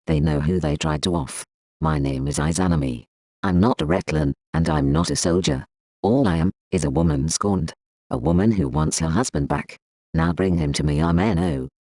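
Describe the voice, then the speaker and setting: A man's voice: deep voice, gravelly, a little mellow, no echo, heavily acted. An actor playing a bitter, defiant scorned woman in a confrontational audio drama scene. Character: defiant, scorned woman demanding her husband back.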